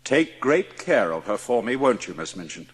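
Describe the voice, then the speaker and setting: A man's voice: medium pitch, sing-song, normal speed, slightly rough. A man playing a fond, indulgent, wealthy father and captain in an audiobook drama.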